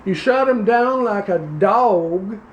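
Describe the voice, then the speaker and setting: Man doing a slow, high-voiced, folksy Louisiana blowhard politician, echoey room. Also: lively intonation, muffled recording, a little hiss or hum. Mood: pompous. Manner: pompous, folksy, rambling. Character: blustering male Louisiana politician running for governor. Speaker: comedy performer. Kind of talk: improvised comedy sketch. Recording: roomy echo.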